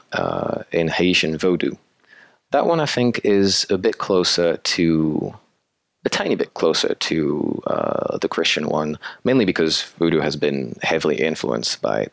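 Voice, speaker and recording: A man with a low, gravelly voice talks through his ideas as a guest on a podcast. He wanders a little, restarting and filling pauses as he thinks. The sound is dry and close, with no room echo. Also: fairly bright tone. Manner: thoughtful, rambling, with many fillers and restarts. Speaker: guest, creator of an audio drama. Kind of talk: casual interview talk.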